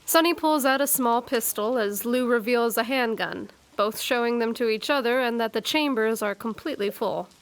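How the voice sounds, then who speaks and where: Audio drama narrator, female actor, slightly high voice, conversational and playful, dry asides. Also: moderate pace, hardly any pauses, close to an everyday voice. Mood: wry. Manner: wry, chatty asides. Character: wry, chatty female narrator of a murder mystery.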